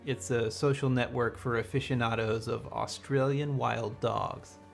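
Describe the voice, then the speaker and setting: Sketch agent, man, gravelly voice, deadpan reports, faint music under. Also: mid-range voice, unhurried. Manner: matter-of-fact, deadpan. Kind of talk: sketch comedy acting.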